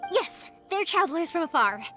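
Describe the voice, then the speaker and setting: Bubbly young woman, very high voice, bouncy intonation, eager, caring, anime game, music behind. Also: sing-song, normal speed, sharp tone, heavily acted. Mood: friendly. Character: perky, energetic, caring young fireworks-maker woman. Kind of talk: scripted anime game voice acting.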